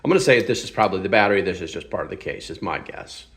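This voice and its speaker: A man's voice: sits low, lively intonation, racing, fairly bright tone. A man hosting a casual hands-on product review, speaking in a blunt, informal, opinionated way. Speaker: product reviewer and channel host.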